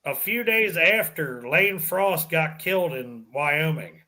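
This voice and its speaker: A man in his thirties, chatting casually on a live stream and cursing freely as he tells stories. His voice is bright and rather high for a man. He comes through a video-call mic. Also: expressive, slow pace. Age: 30s. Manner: swears freely, tells stories with quoted asides. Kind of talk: casual chat.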